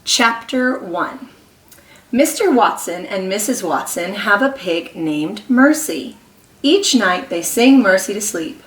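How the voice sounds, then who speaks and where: A woman kindergarten teacher reading a story aloud to young children, expressive and encouraging, pausing to ask them questions. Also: medium pitch, recorded from a distance. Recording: echoey room.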